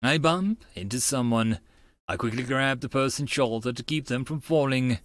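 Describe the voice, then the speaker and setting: A man's voice: medium pitch, moderate pace, no room sound. A man narrating a visual novel read-along, reading scripted story text with casual asides.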